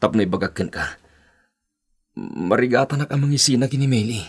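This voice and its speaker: A man playing an uneasy, apologetic young boyfriend in an Ilocano radio drama, with a husky voice and an Ilocano accent. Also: medium pitch, animated, fairly quick. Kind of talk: Philippine radio drama acting.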